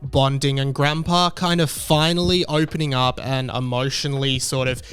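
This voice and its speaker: Clear, smooth young Australian man. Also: mid-range voice, steady tone, normal speed, a bit bright. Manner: earnest, reflective. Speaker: video essay host. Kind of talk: video essay commentary.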